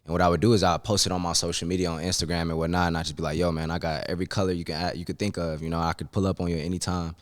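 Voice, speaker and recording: A man's voice: low voice, little pitch movement, very fast, hardly any pauses, dry recording, studio quality. A man in his twenties from Cleveland, Ohio, talking casually as a podcast guest in a laid-back, slangy way with frequent ums. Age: 20s. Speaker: podcast guest, business owner. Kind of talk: casual interview chat.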